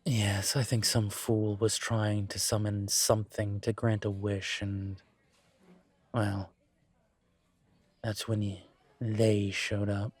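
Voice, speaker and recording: A man playing a calm, courteous incubus professor and investigator in an intimate audio roleplay, speaking considerately with careful pauses. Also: fairly low pitch, slightly rough, no room sound. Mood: explanatory. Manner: measured, considerate, hesitant pauses. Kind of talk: scripted audio roleplay, intimate one-sided conversation.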